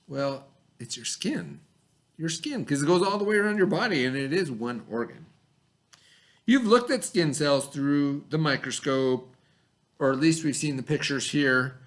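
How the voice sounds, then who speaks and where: Higher-voiced man teaching a read-aloud lesson. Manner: instructional, pausing to pose and answer questions. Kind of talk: read-aloud science lesson for children with asides and questions.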